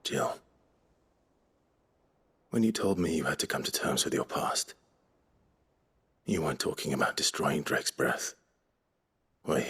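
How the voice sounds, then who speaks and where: A man playing a brooding, resolute warrior nobleman in a video game, husky and earnest. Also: a bass voice, animated, unhurried, long pauses, a bit bright, exaggerated voice. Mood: earnest, supportive. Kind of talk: dramatic video game acting.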